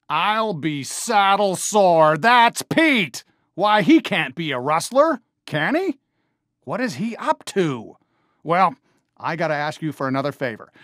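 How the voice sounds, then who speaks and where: A man voicing a friendly, earnest, excitable cartoon cowboy sheriff for a video game, with an American accent and a folksy drawl full of cowboy slang. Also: sits high, dry recording, studio quality, full character voice. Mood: worried, puzzled. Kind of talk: animated game character voice lines.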